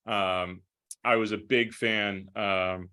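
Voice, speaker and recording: Low, bright-voiced American man in interview. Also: fairly even intonation, slow pace, clear, resonant tone. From United States, upstate New York. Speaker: hockey play-by-play broadcaster. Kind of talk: conversational interview answers.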